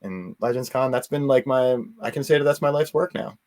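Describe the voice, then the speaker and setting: A man speaking as an interview guest in a casual, earnest and halting way, often circling around his point. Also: medium pitch, darkish tone. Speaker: interview guest, convention organizer.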